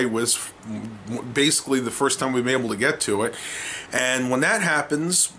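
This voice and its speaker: A man's voice: mid-range voice, fairly bright tone. Young American man vlogging, noisy audio. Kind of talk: casual vlog chat to viewers. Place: small home room, indoors. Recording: clearly noisy home camera audio.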